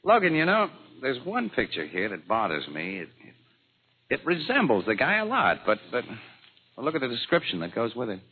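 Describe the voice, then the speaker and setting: An actor playing a brash, wisecracking crime photographer, eager and insistent, in a 1940s radio drama. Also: male voice, mid-range voice, huge pitch swings, brisk, phone-quality sound. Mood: puzzled. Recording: noisy, band-limited old radio recording.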